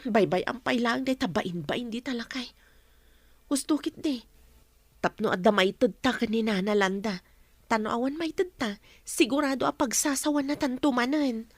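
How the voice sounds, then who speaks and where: A woman's voice: a little high, normal speed, no echo. A woman playing a meek, earnest young wife with an anxious, deferential way of talking, in a radio drama. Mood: embarrassed, low.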